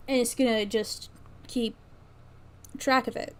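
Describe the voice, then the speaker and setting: A woman's voice: fairly high pitch, steady tone, measured pace, clear, resonant tone. A woman livestreaming as she works, thinking aloud casually and often trailing off mid-thought. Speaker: streamer. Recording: faint background noise, keyboard typing and clicking. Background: computer keyboard typing and mouse clicks.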